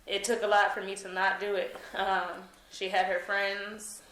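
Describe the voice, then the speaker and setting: Young woman, candid interview guest; earnest, halting delivery, echoey room with light noise. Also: mid-range voice, level tone, a bit bright. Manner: earnest, hesitant with many fillers. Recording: roomy with faint noise.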